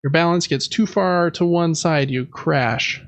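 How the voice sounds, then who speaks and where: A man with a fairly high voice and a gravelly fry hosts a casual games podcast. He talks in a chatty, explanatory way. The recording is dry and a little dull. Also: a little slow, no echo. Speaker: podcast host. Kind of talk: casual board game podcast chat.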